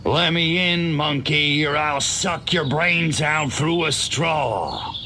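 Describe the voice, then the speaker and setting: An actor voicing an arrogant, sardonic alien invader passing as human in a video game, speaking with haughty, deliberate menace. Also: male voice, on the high side, bright, forceful tone, full character voice. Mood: threatening, contemptuous. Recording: noisy outdoor game ambience behind the voice.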